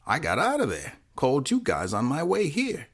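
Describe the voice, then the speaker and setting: A man's voice: medium pitch, huge pitch swings, normal speed, gravelly, a bit bright, breathy edge, pitched above the performer's own voice, heavily acted. A man voicing a defiant, streetwise fifteen-year-old boy who talks in insistent slang in an audio crime drama. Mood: defensive.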